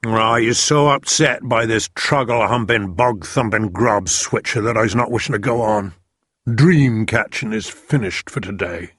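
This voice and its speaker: A man voicing a big, kindly, excitable male giant who mangles his words. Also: fairly low pitch, measured pace, breathy edge, no echo, studio quality. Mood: chatty. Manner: jumbled words, broad rustic lilt.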